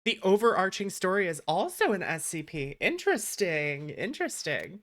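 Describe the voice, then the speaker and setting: Low-pitched woman streaming. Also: sing-song, moderate pace, a bit bright. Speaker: streamer, host of a gaming stream. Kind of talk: casual stream chat and reading game text aloud.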